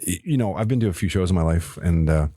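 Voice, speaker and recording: A middle-aged man from Nova Scotia telling stories in a casual podcast chat, animated and swearing, jumping up high when he quotes people. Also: fast, dry recording, studio quality. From Nova Scotia, Canada. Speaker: podcast co-host.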